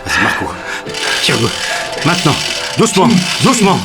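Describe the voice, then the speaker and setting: An actor playing a frantic, protective brother, urgent and colloquial, in a French audio drama. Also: male voice, a little high, huge pitch swings, a little fast. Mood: frantic, alarmed. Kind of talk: French fiction podcast acting. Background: music.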